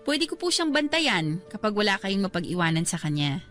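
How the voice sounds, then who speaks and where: A woman's voice: medium pitch, big pitch swings, brisk, fairly bright tone. An actress playing a young pregnant woman in a Filipino radio drama, polite, earnest and pleading, with faint music underneath. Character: pregnant young woman, kind worried neighbor.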